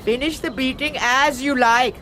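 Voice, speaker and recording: A woman's voice: high-pitched, steady tone, normal speed, a bit bright, slight background noise. An actress playing a spiteful, bossy servant woman in a radio drama, chattering and scolding with glee. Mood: gleeful scolding.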